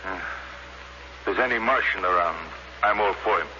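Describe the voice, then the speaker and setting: A man's voice: fairly low pitch, moderate pace, bright, forceful tone. An actor playing a plain-spoken, folksy American space crewman in old radio drama style. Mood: earnest. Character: plain-spoken, easygoing part-Cherokee male space crewman. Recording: muffled noisy old radio recording.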